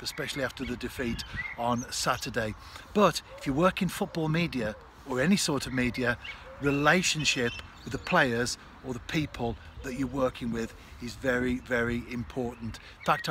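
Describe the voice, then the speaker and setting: A man in his fifties giving a casual instructional fishing vlog in a measured, explanatory way, in short phrases. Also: mid-range voice. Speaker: angler and vlogger. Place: outdoors on a canal bank. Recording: clear outdoor background noise.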